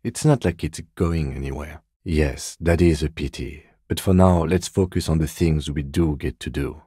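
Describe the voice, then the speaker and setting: An actor playing a composed middle-aged police detective in a video game, speaking in a measured, formal, dryly polite way. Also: male voice, rumbling low, dark tone, slightly rough, no echo, clean studio sound. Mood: calm, formal. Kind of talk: dramatic game dialogue, separate voice lines.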